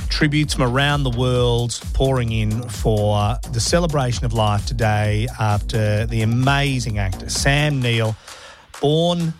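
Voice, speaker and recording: An Australian man hosts breakfast radio in a casual, conversational way. His voice sits at a middle pitch, with music playing clearly behind him. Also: measured pace.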